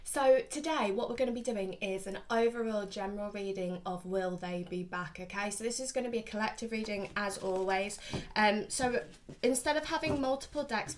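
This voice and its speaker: A woman's voice: mid-range voice, slight background noise. Woman, slightly sniffly tarot reader. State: slightly sniffly from hay fever. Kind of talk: casual tarot reading to camera. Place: small furnished room at home, indoors.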